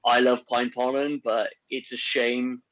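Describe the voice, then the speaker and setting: A man's voice: medium pitch, flat delivery, measured pace, fairly bright tone, no echo, lo-fi sound. A man chatting casually about health supplements, rambling with many restarts and fillers. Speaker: podcast guest on men's health. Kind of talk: casual conversation.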